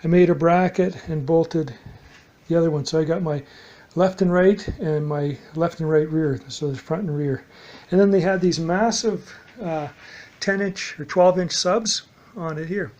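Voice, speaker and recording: A man with a fairly high-pitched voice talks through a homemade project. His delivery is unscripted and halting, with a matter-of-fact manner and phrases that tend to trail off. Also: a little mellow, dull audio. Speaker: DIY hobbyist presenter. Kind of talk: casual unscripted project demonstration.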